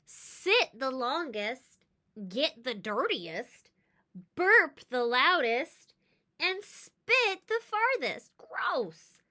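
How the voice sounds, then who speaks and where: A woman reading a children's story aloud in an expressive, emphatic way, with chatty asides. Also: sits high.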